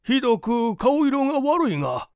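A man voicing a well-off older gentleman, blustering but kindhearted, in an emphatic, old-fashioned manner for a story reading. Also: extremely high pitch, big pitch swings, moderate pace, no room sound, studio quality, pitched above the performer's own voice, exaggerated voice. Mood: concerned.

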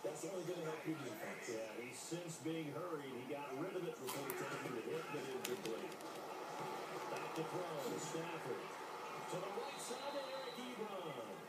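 A man's voice: fairly high pitch, animated, very noisy. Male game commentator from a distant TV. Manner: measured, analytical. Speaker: sports commentator. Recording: from a TV speaker across an echoey room.

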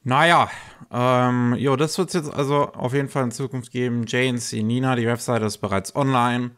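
A man co-hosting a podcast, talking casually and haltingly, slightly congested from a cold. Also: mid-range voice, fairly even intonation, fairly bright tone. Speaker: podcast co-host. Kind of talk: casual news podcast talk.

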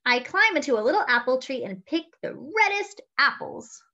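A young woman reading a picture book aloud to children with animated, expressive delivery. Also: high-pitched, moderate pace. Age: young adult. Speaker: children's story-time reader. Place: small room indoors at home. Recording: slightly dull webcam audio.

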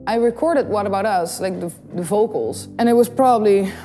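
Young woman, Dutch accent, cheerful vlogger, roomy studio sound with soft music bed. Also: mid-range voice, brisk. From Netherlands. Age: young adult. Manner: bubbly, giggly, animated storytelling. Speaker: YouTube singer and host. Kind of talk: casual chat to camera. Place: small studio in front of a blue backdrop. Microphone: studio condenser mic on the desk.

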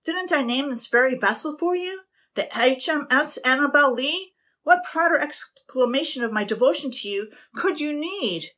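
A woman's voice: mid-range voice, expressive, takes it slowly, bright, forceful tone, heavily acted. A woman voicing a jealous, pompous male ship captain who blusters indignantly.

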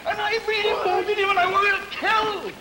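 An actor playing a deranged, vengeful drifter in a theatrical, drawn-out, melodramatic style for a 1950s television comedy sketch. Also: male voice, extremely high pitch, level tone. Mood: raving fury. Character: wild-eyed deranged drifter, mad with vengeance, wheedling then raging. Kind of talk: 1950s live television vaudeville sketch acting. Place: television studio stage, live show. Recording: noisy old 1950s television broadcast recording.

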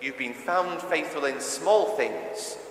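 A man's voice: fairly high pitch, slow pace. Echoey church sermon from a male preacher. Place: large reverberant church interior. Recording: echoey church with long reverb.

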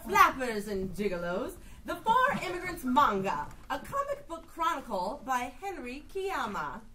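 A woman's voice: big pitch swings, unhurried, pushed and bright, a faint crowd, exaggerated voice. A woman playing a flamboyant vaudeville emcee in a live staged musical reading, announcing in a grand, theatrical way. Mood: grandiose. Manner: booming theatrical announcing, rolling lists of mock insults. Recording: distant stage mic with room noise.

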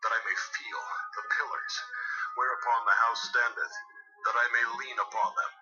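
A man's voice: mid-range voice, little pitch movement, moderate pace, natural voice. An actor playing a solemn, weary strong male biblical hero in a dramatized audio Bible. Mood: pleading. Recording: dull lo-fi audio with music.

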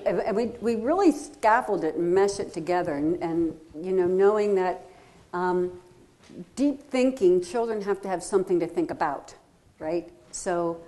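Woman, middle-aged, slightly low voice, earnest public lecturer, lapel mic. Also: warm tone. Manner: earnest, explanatory, reads poetry slowly. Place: wood-panelled lecture hall.

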